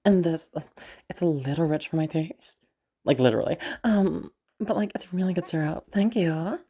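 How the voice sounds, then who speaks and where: A woman acts out a cold, wry female captive in an intimate roleplay monologue. Her voice is very low, dark and full of fry. She muses in a deadpan, hesitant manner, taking her time. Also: normal speed. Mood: wry, teasing. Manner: hesitant, musing, deadpan, sighing.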